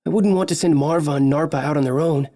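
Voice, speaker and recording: A man's voice: on the high side, quick pace, mellow. A man voicing an earnest young male adventurer who lost his merchant father.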